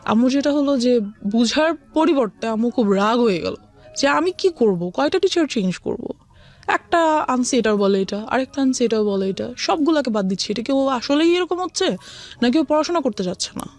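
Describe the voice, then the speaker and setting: A woman's voice: on the high side, animated, normal speed, darkish tone. A woman with a Bangladeshi accent, an interview guest telling a personal story and often switching between Bengali and English.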